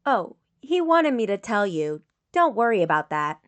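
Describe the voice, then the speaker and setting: A woman's voice: medium pitch, sing-song, normal speed, lightly acted. A woman reading the part of a composed, clinical young female medic in a story, direct and matter-of-fact. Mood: clinical, concerned. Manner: matter-of-fact, clipped questioning.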